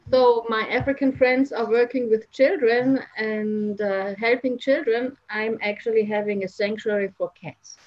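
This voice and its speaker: A woman's voice: a little high, fairly even intonation, a little slow, few pauses. A woman hosting an online panel, speaking casually over a video call. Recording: muffled internet video call.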